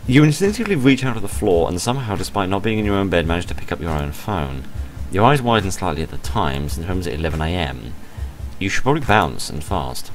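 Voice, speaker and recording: British man, low-pitched voice, lively intonation, let's play narration, wry asides, music in the background. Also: fast. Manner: expressive, deadpan sarcastic asides. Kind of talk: reading a visual novel aloud with wry asides. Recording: music behind the voice. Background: game music.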